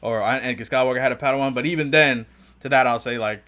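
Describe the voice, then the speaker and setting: A man's voice: mid-range voice, fast talker, fairly bright tone. A man co-hosting a casual fan discussion, speaking in an informal, slangy way. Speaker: podcast co-host.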